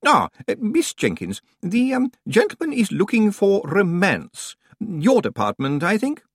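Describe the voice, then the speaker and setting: A man's voice: high voice, wildly swooping pitch, a little slow, breathy edge, no echo, clean studio sound, voice pushed up, heavily acted. A man voicing a nervous, fussy senior librarian who stammers and hesitates. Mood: flustered.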